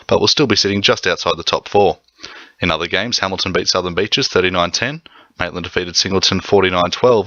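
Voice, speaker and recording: A man's voice: deep voice, bright, forceful tone, no echo. A man with an Australian accent reading a scripted sports bulletin on the radio from a studio. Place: dry broadcast studio. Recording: dull radio broadcast audio.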